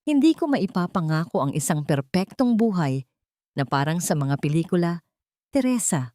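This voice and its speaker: A woman narrator plays a devoted, solemn Filipino man in an audio romance. He speaks softly and earnestly, in a lowish voice on a dry recording. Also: very animated, normal speed, dry recording. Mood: tender, earnest. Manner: soft-spoken, earnest.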